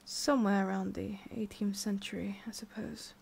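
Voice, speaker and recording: Haughty forest witch, played by a woman, ASMR roleplay. Soft-spoken, halting, condescending, with whispery breaths and a hidden tender streak. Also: medium pitch, flat delivery, creaky, slightly rough. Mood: wistful, halting. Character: haughty, prickly tsundere forest witch, secretly soft-hearted.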